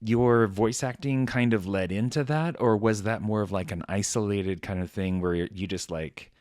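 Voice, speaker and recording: A low-pitched man hosts a relaxed interview, asking rambling questions and nodding along with quick agreement. The sound is dry and close. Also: no room sound. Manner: affable, agreeable, lots of backchannel. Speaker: podcast host. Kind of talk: casual interview chat.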